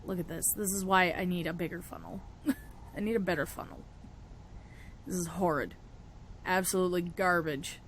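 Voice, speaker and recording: A young woman with a cold-roughened, raspy voice, chatting casually through a spell-making tutorial and laughing often. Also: on the low side, steady tone, moderate pace, long pauses, slight background noise. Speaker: witchcraft vlogger.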